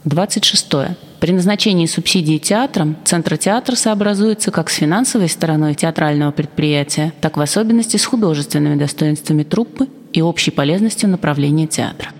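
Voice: medium pitch, a little fast, hardly any pauses. Formal document reader in long reverb. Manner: even, formal, bureaucratic delivery. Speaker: reader of a historical decree.

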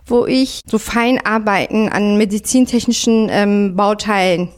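A woman's voice: mid-range voice, steady tone, a little slow. A woman in her late thirties, a mother and factory worker, talking earnestly in an interview. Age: 30s. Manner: earnest, thoughtful. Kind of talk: interview answers.

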